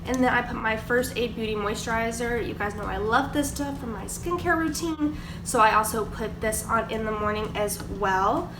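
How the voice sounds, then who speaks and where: A young woman with a slightly high voice and a stuffy nose from a cold talks chattily through her morning routine, full of "like" and "um". She sits a bit back from the camera in a roomy, echoing kitchen. A steady hiss of room noise runs underneath. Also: normal speed, hardly any pauses. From Georgia, US. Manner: chatty, filler-heavy, explaining products. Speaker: beauty vlogger. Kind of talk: casual get-ready-with-me chat. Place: kitchen table at home. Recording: echoey room, distant mic, background noise.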